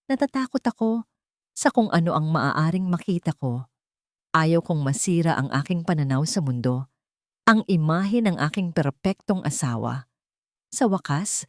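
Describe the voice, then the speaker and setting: A woman narrates a scripted drama in the first person. Her voice sits low, and the sound is dry, with very little room to it. She delivers the text as a storyteller. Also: dry recording.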